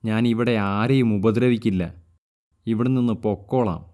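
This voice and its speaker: Brief: male actor as a big cartoon tiger, low voice, unhurried threatening delivery. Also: darkish tone, natural voice. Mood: frightened. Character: big male tiger, menacing then cowed. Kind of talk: cartoon voice acting.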